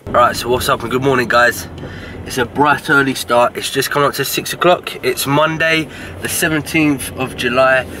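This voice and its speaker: A British man with a croaky, just-woken voice, talking casually to camera about his training and diet in a brisk, matter-of-fact way. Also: mid-range voice, steady tone, noisy background. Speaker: bodybuilding vlogger. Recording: echoey room, from a distance.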